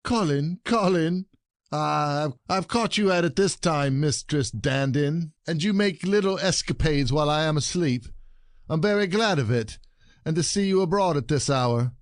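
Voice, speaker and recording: A man's voice: a little high, moderate pace, no room sound, close to an everyday voice. Man playing a gloating, jealous husband, close and dry. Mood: gloating triumph. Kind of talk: classic stage comedy audio play.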